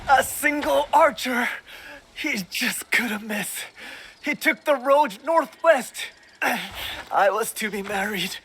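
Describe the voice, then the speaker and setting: High, slow, grieving villager. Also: female voice, a bit bright, slight background noise. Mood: grief-stricken. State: grieving, distressed. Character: grief-stricken villager woman, broken and mourning. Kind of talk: dramatic game cutscene acting.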